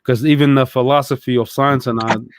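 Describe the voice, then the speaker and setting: A man's voice: mid-range voice, steady tone, quick pace, clean tone, dry recording. A young adult man from Egypt, a guest telling his story in casual conversation, thoughtful and hesitant with many fillers.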